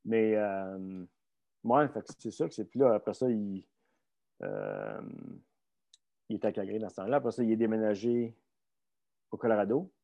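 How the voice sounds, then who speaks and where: A man's voice: fairly low pitch, big pitch swings, normal speed, gravelly, long pauses, warm tone. A middle-aged man with a Québécois accent, talking casually and bluntly, rambling with many fillers and restarts as he recounts his coaching career. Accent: Québécois French. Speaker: strength coach guest. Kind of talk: casual conversational storytelling.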